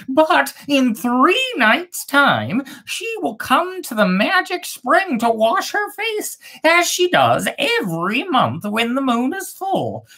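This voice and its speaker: A woman's voice: sits high, a bit bright, voice pushed up, full character voice. A woman voicing a knowing bird who gives advice in a fairy tale.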